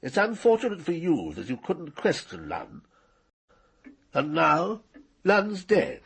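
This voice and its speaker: Casting: cold-blooded patrician villain, mature, gravelly and husky yet fairly high-pitched, condescending, radio drama. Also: male voice, wildly swooping pitch, slow pace, a bit bright, exaggerated voice. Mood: cool, dismissive. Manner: condescending, precise, coolly menacing. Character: arrogant, cold-blooded male scientist knight, middle-aged or older.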